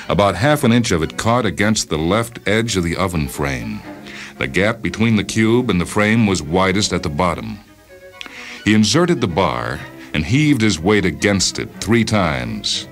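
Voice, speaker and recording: Male narrator, extremely low bass voice, for dramatic short-story reading with deliberate pauses, music underneath. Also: fairly bright tone. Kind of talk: scripted narration of a science fiction short story. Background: music behind the voice.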